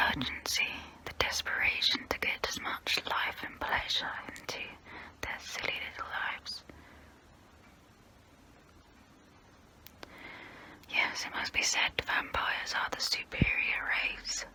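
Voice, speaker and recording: A woman voicing a haughty immortal vampire who looks down on humans, in a breathy close-up whisper for an ASMR role play. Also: sharp tone. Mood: superior, musing. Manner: slow, deliberate, condescending.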